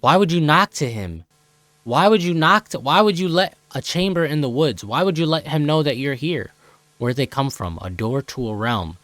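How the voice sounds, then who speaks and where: A man's voice: fairly high pitch, animated, moderate pace, fairly bright tone, no echo. A young American man giving casual, joking reaction commentary in exclamatory slang. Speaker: host of a reaction channel.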